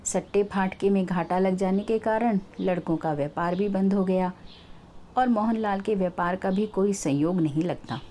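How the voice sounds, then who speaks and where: A woman's voice: medium pitch, moderate pace, dark tone, a little hiss or hum, natural voice. A woman voicing a troubled older male pandit in a told story, plaintive and formal. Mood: lamenting.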